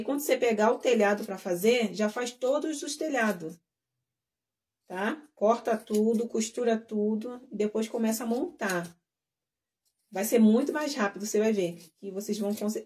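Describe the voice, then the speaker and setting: A woman's voice: medium pitch, darkish tone. A woman teaching a live sewing class, talking casually to her viewers while she works and trailing off between steps. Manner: chatty, addressing viewers as girls. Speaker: sewing instructor.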